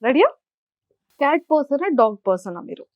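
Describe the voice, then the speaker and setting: A woman's voice: high-pitched, very animated, brisk, no echo, muffled recording. A woman interviewer hosting a casual celebrity chat, speaking Telugu with some English.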